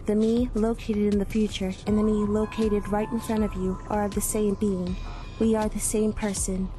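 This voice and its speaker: A woman playing an emotionless teenage alien girl in an anime fan dub, speaking in a formal, deadpan way. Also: mid-range voice, normal speed, music in the background, close to an everyday voice. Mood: flat, detached. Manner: flat, deadpan, formal technical phrasing.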